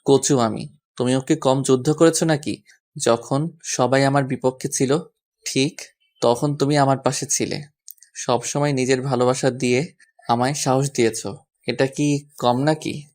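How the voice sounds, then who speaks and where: A man's voice: medium pitch, fairly even intonation, unhurried, darkish tone, lightly acted. A man playing a devoted, earnest young husband in a romantic audio drama. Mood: teasing.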